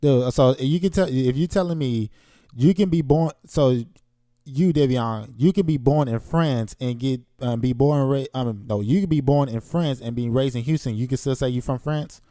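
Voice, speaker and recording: Man, podcast co-host, blunt slang, interrupts to argue, dark dry voice. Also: mid-range voice, normal speed, no room sound. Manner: blunt, slangy, cuts in to disagree. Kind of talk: casual debate chat.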